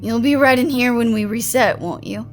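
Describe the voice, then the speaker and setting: A woman's voice: a little high, moderate pace, close to an everyday voice. An actress as a casual, teasing woman, music behind. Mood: casual, coaxing. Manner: slangy, teasing. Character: playful, casual, flirtatious woman. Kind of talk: naturalistic audio drama acting.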